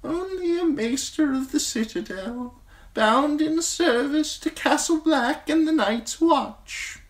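Woman acting ancient blind old man, weary, gentle, slow grave delivery, high thin voice. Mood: grave, sorrowful.

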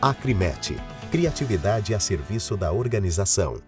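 Brazilian Portuguese male announcer, low voice, animated sing-song reading, studio mic, music bed. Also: moderate pace. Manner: polished and persuasive. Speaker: commercial voice-over announcer. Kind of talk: ad voice-over. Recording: clear music bed behind.

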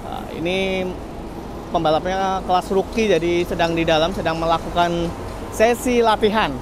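A man giving a brisk walking tour of a race paddock, listing riders and their teams. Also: high-pitched, fairly even intonation. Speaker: paddock reporter. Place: outdoor race paddock tents. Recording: loud engine noise outdoors.

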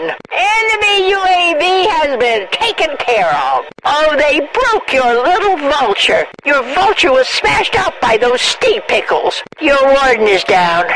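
A woman's voice: sits high, very noisy, full character voice. An older American woman playing a feisty, foul-mouthed grandma announcer in a video game, with a folksy, exclamatory, cranky scolding manner. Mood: exasperated.

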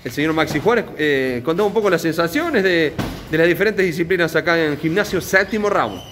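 A man's voice: fairly high pitch, animated, fairly quick, fairly bright tone. A man with an Argentine accent works as a sports interviewer, putting casual questions on location. He is recorded on a handheld mic inside a gym, with faint noise and clicking in the background. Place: indoor martial arts gym. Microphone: handheld reporter microphone.

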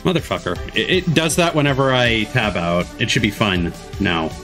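Lively male streamer over background music. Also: lowish voice, moderate pace. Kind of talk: casual live reaction commentary. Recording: music and trailer audio behind. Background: game showcase music.

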